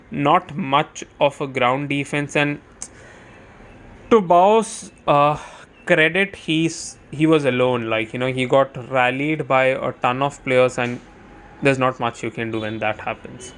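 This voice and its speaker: A man's voice: mid-range voice, a bit bright, clean tone. Casual male game streamer, chatty commentary. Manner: chatty, thinking aloud. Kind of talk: casual live gameplay commentary.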